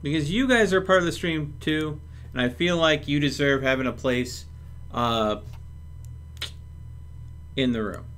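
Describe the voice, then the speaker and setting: A man's voice: a little high, measured pace, pauses often, a bit bright. A man hosting a livestream, casually talking through his game step by step and chatting with viewers. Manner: thinks aloud step by step.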